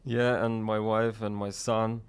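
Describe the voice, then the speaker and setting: Male guest, Russian accent, lowish smooth voice, relaxed and brief. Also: measured pace. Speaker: guest musician. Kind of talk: casual radio interview.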